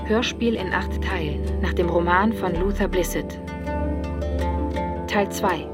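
A woman's voice: fairly low pitch, slow pace. A woman announcing titles and scenes for a radio drama in a scripted style. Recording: loud music over the voice.

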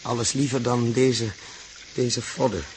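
An actor playing a troubled, uneasy man who mutters haltingly and repeats himself, in a 1960s radio drama. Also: medium pitch, little pitch movement, slight background noise, close to an everyday voice. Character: troubled, hesitant grown man Joost, uneasy space traveller. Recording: muffled-voiced old 1960s radio recording.

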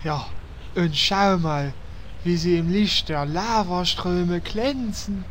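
A dreamy, lovestruck guild member, voiced by a man gushes in a high voice. He drawls his sighing praise slowly in this amateur audio drama. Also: slight background noise. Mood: smitten. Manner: dreamy, gushing. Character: lovestruck naive young male guild member.

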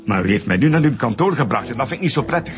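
An actor with a Flemish accent playing a courteous, bitter murder suspect in a formal, theatrical radio drama manner. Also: male voice, mid-range voice, lively intonation, racing, soft background music, lightly acted. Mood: courteous, insistent.

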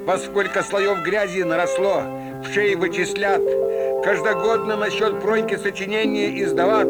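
A man narrating a comic fairy tale as a theatrical, folksy storyteller with rustic dialect phrasing. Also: high voice. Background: loud music.

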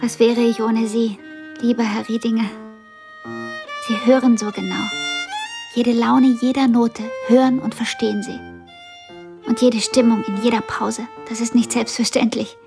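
An eager young musician in a period radio drama. Her voice is fairly high, earnest and ingenuous, with music clearly behind it. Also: level tone, unhurried, pauses often. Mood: grateful, earnest. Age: young adult. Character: eager, sensitive young blind female pianist.

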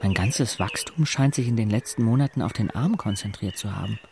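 An actor playing an introspective, wry teenage boy who narrates his own life in a measured, literary audio drama style. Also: lowish voice, creaky, noisy background. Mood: reflective, wry. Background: music.

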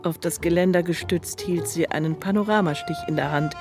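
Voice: mid-range voice, fairly quick, lightly acted. A performer narrating a literary radio play in a composed, precise and descriptive way, as an observant storyteller. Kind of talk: scripted radio drama narration. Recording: music behind the voice.

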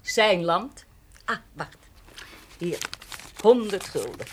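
A woman's voice: mid-range voice, lively intonation, slow pace. An actress playing an emotional, teasing village woman in a naturalistic radio drama. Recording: slightly noisy radio play recording.

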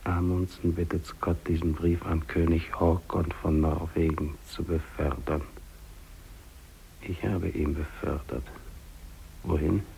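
A lonely, dying male polar explorer in an old radio play. His voice is low, dark and extremely slow, breaking into halting, resigned phrases. A light tape hiss lies over the recording. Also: level tone, gravelly, exaggerated voice, rough recording. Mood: weary, resigned. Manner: halting, broken phrases with long pauses. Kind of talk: 1950s German radio drama monologue.

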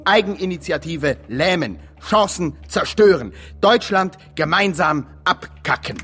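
An actor playing a zealous campaign strategist in a satirical radio drama, declaiming in slogans as if giving a presentation. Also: male voice, on the high side, lively intonation, measured pace, fairly bright tone, slight background noise. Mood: alarmist.

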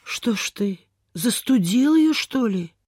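A pitying, high-voiced gentlewoman. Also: expressive, slow pace, slightly rough, no echo, clean studio sound, full character voice. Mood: concerned, pitying. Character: concerned gentlewoman, lady of the house. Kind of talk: mid-20th-century radio theatre acting.

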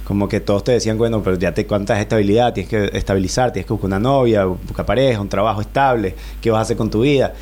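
A man's voice: on the low side, fast, few pauses, darkish tone. Rambling Venezuelan man in his thirties. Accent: Venezuelan Spanish. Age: 30s. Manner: rambling storyteller with many fillers and restarts. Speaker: guest, bicycle traveler. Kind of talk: relaxed radio interview.

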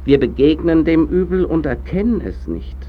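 Gloomy, lilting, enigmatic stranger. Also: male voice, fairly high pitch, big pitch swings, narrow, tinny audio, a little hiss or hum. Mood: solemn, cryptic. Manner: measured, formal, cryptic. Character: enigmatic, gloomy, philosophising male stranger, formally polite. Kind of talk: German radio drama acting.

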